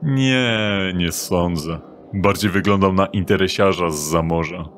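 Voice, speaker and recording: A man's voice: sits low, measured pace, slight background noise. A sly, thick-voiced thug doorman. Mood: mocking. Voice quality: thick unpleasant voice. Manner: mocking, teasing, drawling. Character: short burly sly male doorman thug. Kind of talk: audio drama acting.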